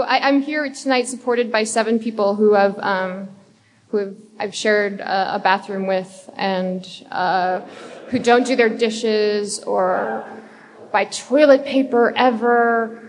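A woman's voice: a little high, big-room sound, a little hiss or hum. A woman giving an embarrassed, giggly acceptance speech with a bit of swearing. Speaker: award winner.

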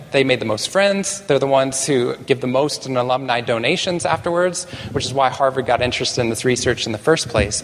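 A man from Texas giving a conference talk to a live audience, animated and self-deprecating, with some restarts. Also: medium pitch, in a large hall, slight background noise. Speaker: conference speaker. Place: large conference hall.

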